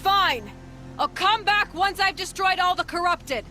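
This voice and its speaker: A woman's voice: very high-pitched, lively intonation, unhurried, sharp tone, faint music behind, heavily acted. A woman playing a determined, blunt young huntress in a video game, direct and wry. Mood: defiant.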